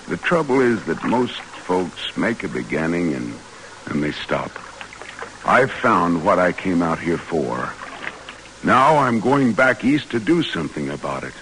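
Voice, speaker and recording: Deep-voiced earnest American explorer major. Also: male voice, sing-song, measured pace, fairly bright tone, full character voice. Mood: determined. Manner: earnest, reflective, oratorical. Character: earnest visionary Major, explorer and former geology teacher. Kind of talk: 1940s radio acting. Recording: noisy vintage radio drama recording.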